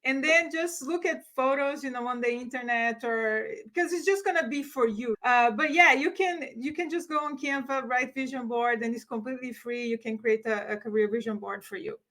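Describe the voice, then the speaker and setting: A woman's voice: high voice, moderate pace, hardly any pauses, a bit bright. A woman from Brazil, casually explaining things as a coach co-hosting a live online workshop.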